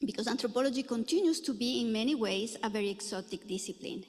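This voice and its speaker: A middle-aged woman from Ecuador giving a conference lecture in a lecture room, earnest and full of filler pauses. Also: fairly high pitch, a little mellow. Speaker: anthropology professor giving a talk. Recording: echoey hall reverb.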